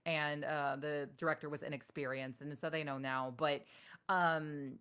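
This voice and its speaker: A woman's voice: measured pace, clean tone. A woman voice actress in a casual interview, talking frankly and giving advice. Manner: animated, acts out quoted people in higher voice. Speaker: voice actress guest.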